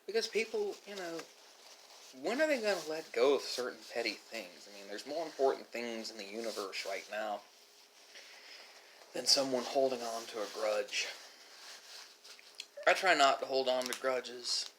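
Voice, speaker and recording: A man giving a reflective, philosophical monologue as an online host, speaking haltingly with drawn-out pauses. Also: mid-range voice, lively intonation, fairly bright tone, slight background noise, low-quality recording. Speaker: host of an ASMR mukbang channel.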